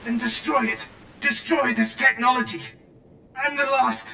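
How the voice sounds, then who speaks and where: An actor playing a tormented soldier trapped in a droid body in a video game, pleading in anguish through a robotic filter. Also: male voice, extremely high pitch, unhurried, creaky, slight background noise, full character voice. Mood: desperate. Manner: pleading, anguished, breaking into flat system announcements. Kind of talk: video game cutscene acting. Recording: echoey, distant, music underneath.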